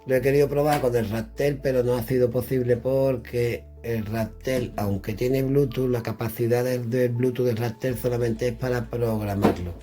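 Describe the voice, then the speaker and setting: A man's voice: mid-range voice, steady tone, moderate pace, hardly any pauses, music in the background. A middle-aged man from chatting casually indoors as he demonstrates radio equipment, thinking aloud while he fiddles with the devices. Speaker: radio gear reviewer.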